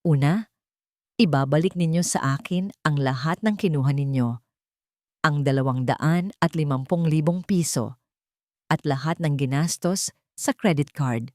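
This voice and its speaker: A woman voicing a resolute wronged woman in a story, speaking in a firm, controlled, deliberate manner. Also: sits low, a little mellow, no echo, studio quality. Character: resolute wronged woman delivering cool ultimatums.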